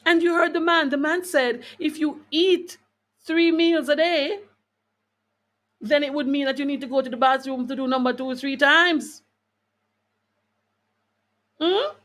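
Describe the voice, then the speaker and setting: A woman's voice: sits high, a little slow, long pauses, a bit bright. Emphatic woman hosting a wellness talk. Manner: emphatic, repeats phrases for stress, preacher-like; conversational, addresses listeners with rhetorical questions. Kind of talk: live talk to online viewers, reading out comments.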